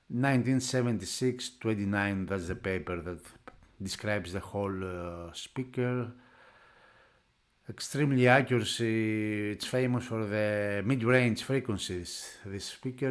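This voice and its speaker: Slow, low-voiced man, halting delivery. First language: not English. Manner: halting, piecing phrases together. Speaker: product reviewer. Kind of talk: unscripted unboxing narration.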